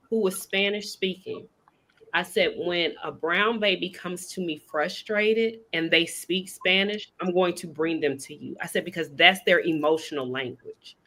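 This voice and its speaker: A former school counselor with a bright, forceful voice makes her case earnestly. She leans on key words to drive her point home. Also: on the low side, unhurried. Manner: earnest, explanatory, emphatic. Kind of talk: casual group discussion.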